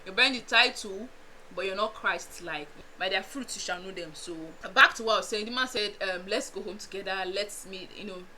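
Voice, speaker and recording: A young Nigerian woman with a Nigerian accent, chatting casually to her viewers in an animated storytime vlog, laughing often and making asides. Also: medium pitch, brisk, a little hiss or hum, poor audio. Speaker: vlogger.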